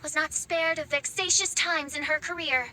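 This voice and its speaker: An actress voicing a proud, boastful young astrologer in a video game, with a smug and haughty manner. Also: female voice, high voice, steady tone, normal speed, bright, forceful tone, rough recording. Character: proud, boastful young woman astrologer, self-declared genius.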